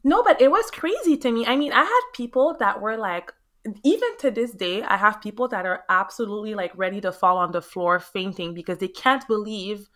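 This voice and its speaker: A young woman from Montreal with a Quebec French accent, French her first language, chatting in an interview as a realtor, animated and quick to laugh. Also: mid-range voice. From Montreal, Canada. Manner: animated storyteller, laughs often, acts out quotes. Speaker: guest, realtor. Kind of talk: casual interview chat.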